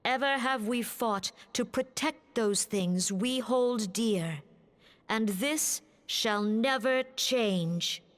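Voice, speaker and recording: A woman playing a gentle, noble national leader in a fantasy video game, solemn and measured in formal archaic phrasing. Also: on the high side. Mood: solemn, resolved.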